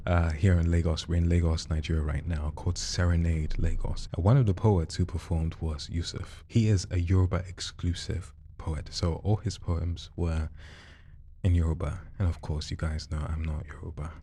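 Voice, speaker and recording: Deep-voiced, mellow male podcast host. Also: steady tone, normal speed, a little hiss or hum. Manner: upbeat, coaxing, conversational. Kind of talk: casual interview hosting. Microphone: studio microphone.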